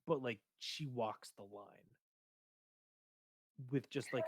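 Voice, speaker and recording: A man with a big, booming voice talks loosely on a stream, hunting for words and restarting often. His sound is dry and close, with no room around it. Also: takes it slowly, no echo. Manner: rambling, hesitant with many fillers. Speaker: streamer discussing his game character. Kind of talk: casual chat.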